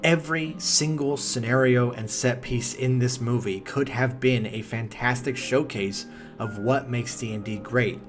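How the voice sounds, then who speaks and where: Sardonic man narrating a film review. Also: mid-range voice. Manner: sardonic, profane, exasperated. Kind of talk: video essay narration.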